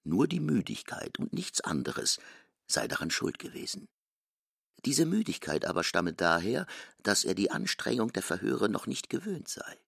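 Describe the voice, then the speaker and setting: A man with a gravelly, husky voice giving an expressive, articulate scripted narration for a literary radio drama. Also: fairly low pitch, normal speed, no room sound, studio quality.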